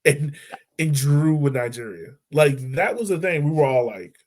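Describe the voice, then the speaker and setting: A man's voice: on the high side, expressive, normal speed, a little mellow. A man hosting a podcast, chatting informally about football and thinking aloud as he goes. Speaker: podcast host discussing football. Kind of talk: casual conversational sports chat.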